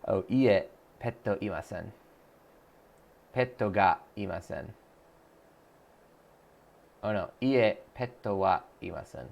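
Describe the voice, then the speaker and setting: A man's voice: fairly low pitch, slow pace, gravelly, pauses often, a little mellow, lo-fi sound. A man on a live stream, chatting casually, thinking aloud with lots of fillers and restarts, and reading viewer messages aloud to answer them. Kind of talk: casual live-stream chat while practicing a language app.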